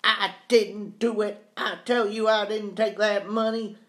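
A man's voice: sits high, little pitch movement, unhurried, fairly bright tone, voice pushed up. A man voicing an honest, anxious caretaker in a storybook, earnest and defensive under accusation.

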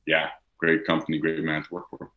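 A man's voice: very deep voice, monotone, fairly quick, echoey room, phone-quality sound. A man, a native Dutch speaker, talking casually as an actor being interviewed, rambling with many fillers.

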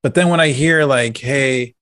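A man's voice: mid-range voice, moderate pace, a bit bright, dry recording. Male co-host for casual podcast talk. Mid-range voice, dry, close-miked sound. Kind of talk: casual chat.

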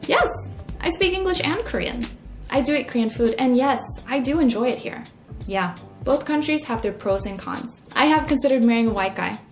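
A young woman with an American accent narrating a personal essay in an expressive way as a podcast host. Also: high voice, moderate pace. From United States. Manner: expressive, acts out quoted remarks. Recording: roomy echo, faint music.

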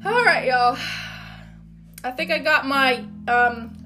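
A loud, upbeat woman, hosting her own motivational podcast. Her voice sits high and bright, and she talks in an encouraging, casual way. Soft guitar music plays faintly behind her. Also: lively intonation, slow pace. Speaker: podcast host. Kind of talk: motivational solo podcast talk.

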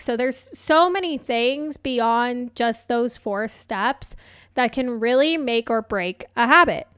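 A woman hosting a solo motivational podcast as a coach, chatting casually and encouragingly, her voice slightly hoarse. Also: a little high, fairly bright tone, no room sound, studio quality. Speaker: podcast host and life coach.

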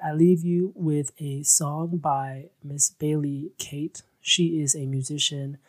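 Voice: mid-range voice, fairly even intonation, slow. An effusive, encouraging radio host speaking casually in an interview, praising and thanking the guest.

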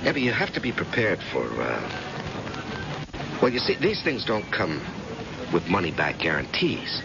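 An actor playing a blunt, protective family friend in an old-time radio crime thriller, sometimes hesitant, sometimes urgent. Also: male voice, lowish voice, huge pitch swings, normal speed, music in the background. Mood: hesitant, gentle. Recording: dull, very noisy old radio recording.

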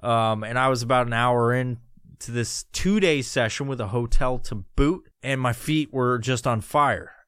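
A man's voice: mid-range voice, measured pace, fairly bright tone, clear, resonant tone, no room sound, clean studio sound. A middle-aged man from New York hosting a podcast, swearing constantly and laughing through rambling stories. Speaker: podcast host. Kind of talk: profane storytelling monologue.

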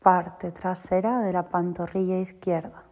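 A woman guiding a yoga nidra relaxation as a teacher, giving short, soothing, deliberate instructions. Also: lowish voice, fairly even intonation, a little mellow. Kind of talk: guided yoga nidra meditation. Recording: long reverberant hall-like echo.

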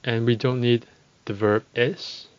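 Slow-speaking man teaching a lesson. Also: medium pitch, level tone, dry recording, highs cut off. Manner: slow, deliberate, repeats phrases for learners. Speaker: language teacher. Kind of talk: podcast language lesson.

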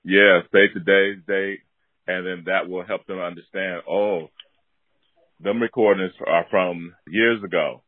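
A man's voice: sits low, steady tone, unhurried, no echo. A man casually giving instructions on a call, over a thin phone-like connection. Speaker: call recipient guiding the recording.

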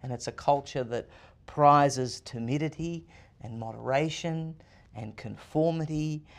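A man's voice: a little high, unhurried, vocal fry. A confident man in his thirties with an Australian accent, a guest answering interview questions in emphatic, list-like phrases. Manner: emphatic, confident, rhetorical lists. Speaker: podcast guest.

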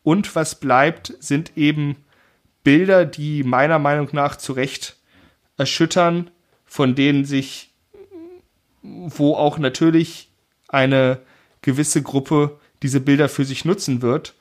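Measured, slightly high-voiced man explaining. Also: steady tone. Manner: measured, explanatory, hedging asides. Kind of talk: analytical discussion.

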